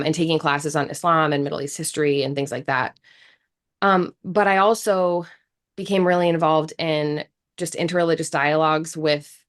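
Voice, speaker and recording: A woman in her thirties from the United States, a guest speaking earnestly and reflectively in an interview, with frequent restarts. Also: low-pitched. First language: English. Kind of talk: casual interview talk.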